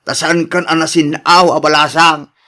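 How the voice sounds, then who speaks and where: A man's voice: a little high, flat delivery, moderate pace, sharp tone. A man with a Filipino accent playing a stern, hot-tempered father who scolds emphatically in a radio drama. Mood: stern, pressing. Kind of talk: Ilocano radio drama acting.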